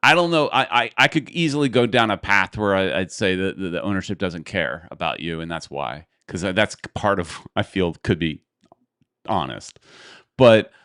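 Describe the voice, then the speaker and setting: A man's voice: low-pitched, moderate pace, a bit bright, clean tone, dry recording, studio quality. A man hosting a podcast, chatting casually and rambling as he thinks aloud. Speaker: podcast host. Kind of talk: casual chat.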